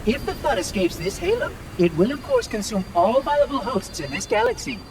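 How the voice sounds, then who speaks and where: Eccentric, courteous machine caretaker, formal and precise with lively swoops, slightly distant, noisy game ambience. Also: male voice, extremely high pitch, brisk, heavily acted. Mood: matter-of-fact, fussy. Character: polite, eccentric, chirpy floating robot caretaker. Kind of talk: video game cutscene acting.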